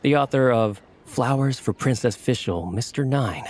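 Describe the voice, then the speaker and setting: A man voicing an agreeable, smooth-talking adventurer in a video game, conversational and a little flattering. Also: mid-range voice, a little slow, faint music behind, lightly acted. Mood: explaining.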